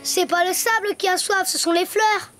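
A woman's voice: very high voice, fairly even intonation, normal speed, loud music behind the voice. A cheerful little donkey boy. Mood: busy. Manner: childlike, chatty, talks to his teddy. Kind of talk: cartoon voice acting.

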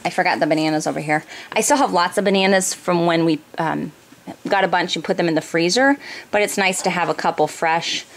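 A woman's voice: on the low side, steady tone, a little fast. An American woman in her thirties chatting informally as she explains household items one by one for a casual home vlog. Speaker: family vlogger, mother. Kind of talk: casual grocery haul narration. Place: indoors in a home kitchen or dining room. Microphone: handheld camera close by. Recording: noisy home recording with room ambience.

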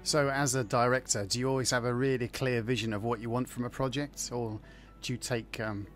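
A man hosting a radio interview, asking brisk, light-hearted questions. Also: medium pitch, steady tone. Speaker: radio host. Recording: music bed under the voice. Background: music.

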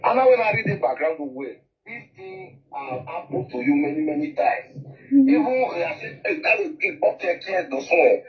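Played clip: a man with a high voice and halting delivery, echoey lo-fi recording. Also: wildly swooping pitch. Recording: roomy lo-fi clip with crowd.

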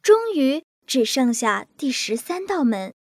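A woman narrating bedtime fairy tales for young children in a scripted, expressive way. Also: high-pitched, a little slow, a little hiss or hum. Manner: lively, expressive. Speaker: storyteller.

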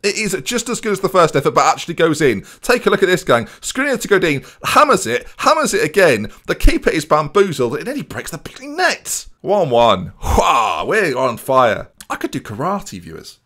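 Young man, football game commentary, lively pitch, slightly high voice, jokey and excitable, headset mic, small home room. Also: moderate pace, hardly any pauses. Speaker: gaming streamer.

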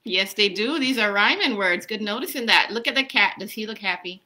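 A woman's voice: mid-range voice, animated, a little fast, bright, forceful tone. A woman in her thirties reading a children's story aloud in a casual, encouraging way, prompting young listeners with questions. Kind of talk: interactive children's story time, casual chat and read-aloud. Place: small room indoors. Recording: noisy home webcam recording.

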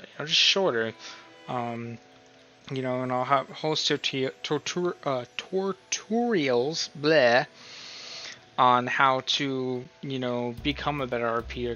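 A man's voice: medium pitch, bright, forceful tone, highs cut off. A man talking informally and in a rambling way, as the host of a casual tutorial. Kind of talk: casual screen-recorded tutorial talk. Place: voice-over on a screen recording.

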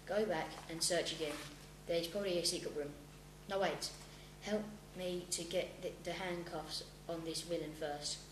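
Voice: medium pitch, steady tone, moderate pace, few pauses, close to an everyday voice. A school pupil playing a stern, self-assured male police inspector in an amateur radio play, with stumbling delivery. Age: child, about 12 to 13. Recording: echoey room, clear hum.

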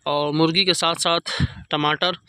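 A man's voice: a little high, little pitch movement, measured pace, pushed and bright, smooth, dry recording. A man with a Karachi Urdu accent chatting casually to viewers while filming a night fishing trip on the beach, talking into a handheld phone. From Pakistan. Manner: chatty, keeps addressing friends, frequent pious interjections. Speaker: fishing vlogger. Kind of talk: casual outdoor vlog narration. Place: night beach campsite by a fire, outdoors.

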